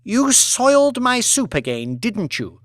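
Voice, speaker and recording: A man's voice: very high voice, big pitch swings, a little slow, dry recording, studio quality, voice pushed up, full character voice. A man voicing an imperious, icy aristocratic lady in an audiobook, with haughty, clipped commands. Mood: menacing. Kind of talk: audiobook character acting.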